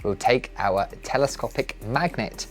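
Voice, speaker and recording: Man, mid-pitched voice, scripted how-to narration, careful and polite, step by step, faint background music. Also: a little slow. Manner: careful, instructional, polite phrasing. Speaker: presenter of a DIY build tutorial.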